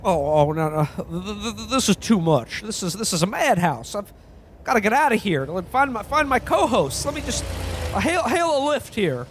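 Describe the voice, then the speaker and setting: A man's voice: sits high, big pitch swings, normal speed, background noise. A man playing a bewildered, frantic everyman in a radio-play parody, stammering and full of old-fashioned folksy exclamations. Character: frantic, bewildered everyman in an alternate Christmas reality.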